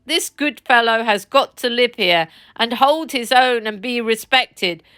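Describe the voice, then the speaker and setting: A woman's voice: a little high, a little slow, sharp tone. A woman voicing a gloomy, blunt old male badger.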